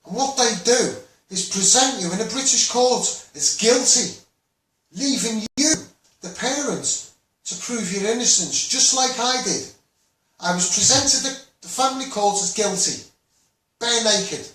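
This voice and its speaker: A man's voice: high-pitched, expressive, unhurried, a bit bright. A man with a Scouse accent, a campaigner giving a blunt, rambling, impassioned monologue to online viewers, often repeating himself. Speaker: children's rights campaigner. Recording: very echoey room, far from mic.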